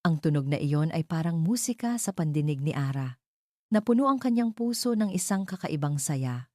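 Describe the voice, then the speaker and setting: Low, mellow woman storyteller. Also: expressive, moderate pace, dry recording, studio quality. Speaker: story narrator. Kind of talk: dramatic scripted storytelling narration.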